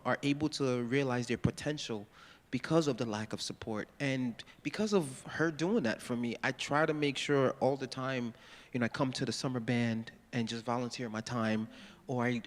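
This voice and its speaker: Earnest man from Ghana in a reverberant hall. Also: mid-range voice. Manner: earnest storytelling, quotes mentors in a firm voice. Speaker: panelist, musician and volunteer mentor. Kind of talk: live panel discussion. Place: large hall. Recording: long hall reverb from a live panel.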